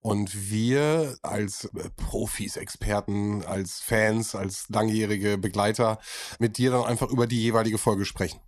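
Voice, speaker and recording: A man's voice: on the low side, a little slow, no room sound, clean studio sound. A man co-hosting a casual podcast, talking hesitantly with many fillers and a playful tone. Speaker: podcast co-host.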